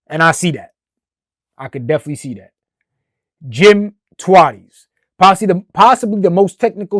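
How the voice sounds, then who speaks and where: A young man hosting a casual football fan reaction, excitable and slangy, laughing often. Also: on the high side, no room sound, studio quality. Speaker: host of a football fan channel.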